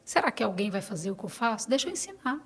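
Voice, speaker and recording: A woman's voice: medium pitch, sing-song, fast talker, a bit bright, slightly rough. A middle-aged Brazilian woman talking casually as a podcast guest, telling stories and quoting conversations as she goes.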